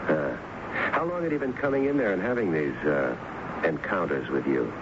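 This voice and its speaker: An actor playing a casual, persistent homicide lieutenant in a 1970s radio drama, questioning with hesitant pauses. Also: male voice, sits low, big pitch swings. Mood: probing, polite. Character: male police homicide lieutenant, casual, probing, dryly persistent. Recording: muffled, noisy 1970s radio broadcast.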